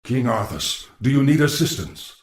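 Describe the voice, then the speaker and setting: A man's voice: medium pitch, very animated, normal speed, close to an everyday voice. A man voicing an undead lich advisor in a fantasy game, grave, formal and sinister, with an echoing, distant sound. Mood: grave, deferential. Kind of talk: fantasy game dramatic acting.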